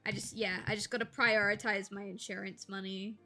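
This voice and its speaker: A young woman talks casually to her live-stream audience, rambling and thinking aloud as she goes. Her tone is bright, with a light gravel that shows when she speaks softly. Faint music plays in the background. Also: medium pitch, little pitch movement, brisk. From Australia. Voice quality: slight gravel in the voice when talking quietly. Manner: chatty, rambling, laughs easily, thinks aloud.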